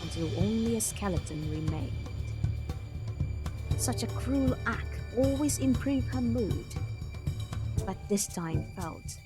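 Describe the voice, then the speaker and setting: A woman's voice: medium pitch, expressive, takes it slowly, long pauses. A woman reading dramatic scripted narration for a visual novel as its storyteller. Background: music clearly behind the voice.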